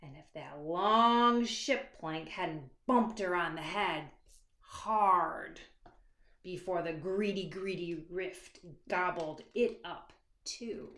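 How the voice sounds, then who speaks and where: A woman author reading a children's picture book aloud expressively, with dramatic hushed moments and asides to young listeners. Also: fairly low pitch. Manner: dramatic, dropping to hushed asides and stretched words; pauses to address young listeners with questions. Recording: echoey, reverberant room.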